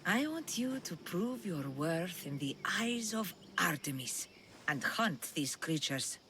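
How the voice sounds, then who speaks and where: A woman playing a sly, commanding huntress in a video game cutscene, measured and teasing, with faint insects behind her. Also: fairly low pitch, sing-song, noisy background, full character voice. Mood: imperious. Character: sly, commanding huntress devoted to a Greek goddess.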